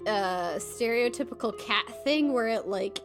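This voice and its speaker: A woman's voice: fairly high pitch, a little slow. A woman playing a tabletop game, describing her actions in playful, casual chat. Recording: music bed behind the voice.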